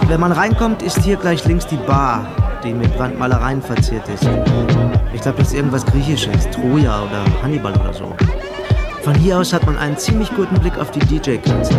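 An actor playing a musing club regular, giving a conversational tour in a radio drama. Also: male voice, mid-range voice, lively intonation, moderate pace, lightly acted. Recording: loud crowd and music around voice. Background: loud music and crowd.

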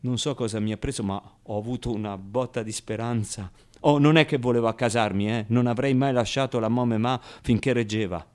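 An actor playing a naive, streetwise young man recalling his boyhood in a confiding, colloquial radio monologue with dramatic pauses. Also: mid-range voice, steady tone, lightly acted. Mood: naive, animated.

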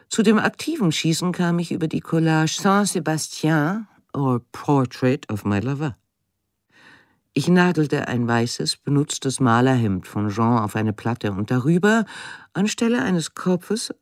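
A woman's voice: low-pitched, darkish tone, no room sound, clean studio sound. An actress playing a woman artist who recalls her work and life with intensity in a radio drama monologue.

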